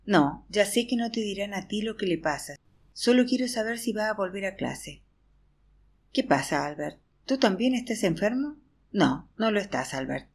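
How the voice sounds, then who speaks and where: A woman's voice: mid-range voice, breathy edge. A woman narrating an audiobook in the first person, acting out a weary teacher's chatter to a class. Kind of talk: expressive audiobook reading.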